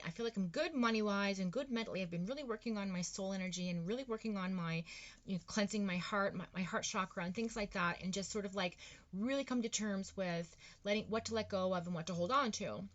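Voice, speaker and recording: A woman's voice: medium pitch, dull audio. A woman giving a casual tarot reading, rambling and encouraging, with lots of fillers and restarts. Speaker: tarot reader.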